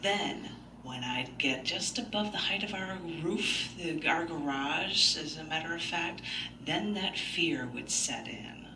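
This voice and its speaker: A woman's voice: low voice, lively intonation, slow, noisy background. Female poet and open mic host, live readings with anecdotal chat; distant mic, echoey room. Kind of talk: live poetry reading with chatty, anecdotal introductions. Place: large indoor bookstore, live event.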